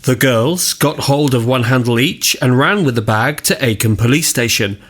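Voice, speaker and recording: Man playing earnest village news reporter, mid-range voice, measured scripted narration, radio drama. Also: mid-range voice, fairly bright tone, slight background noise, lightly acted. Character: earnest male local newspaper reporter reading a village story.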